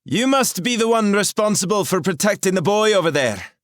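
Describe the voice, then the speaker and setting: A man's voice: sits high, lively intonation, a little fast, a bit bright, dry recording, studio quality, pitched above the performer's own voice. A man voicing a fierce young woman who guards a wolf mage, in a haughty, commanding tone. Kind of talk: audiobook character voice.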